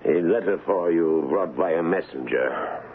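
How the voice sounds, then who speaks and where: A man's voice: deep voice, normal speed, slight background noise, natural voice. A man acting a cautious, loyal older colonel in a 1970s radio drama, hesitant and deferential. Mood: wary. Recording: dull old radio broadcast with music.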